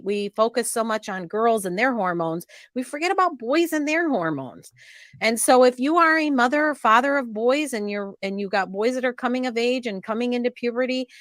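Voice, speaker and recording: A woman's voice: a little high, a bit bright, no echo, clean studio sound. A woman hosting an informal true-crime show, talking in an earnest, emphatic and cautionary way.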